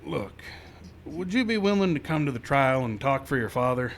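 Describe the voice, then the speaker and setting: A man's voice: mid-range voice, lively intonation, moderate pace, slight background noise, lightly acted. A man playing a plainspoken, kindly, sombre Old West marshal in a live radio western. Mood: grave, gentle.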